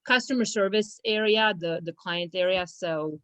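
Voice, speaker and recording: Romanian-born woman with low voice. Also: moderate pace, fairly bright tone, clean tone, no echo. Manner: hesitant, many fillers and restarts, laughs easily. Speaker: law firm business manager. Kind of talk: casual interview.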